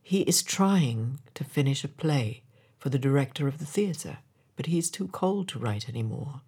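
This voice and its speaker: Woman as a low, solemn, sorrowful prince statue. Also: expressive, moderate pace, mellow, slightly rough, exaggerated voice. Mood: compassionate, grave. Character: gentle sorrowful prince statue, compassionate and quietly commanding. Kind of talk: storybook character voice.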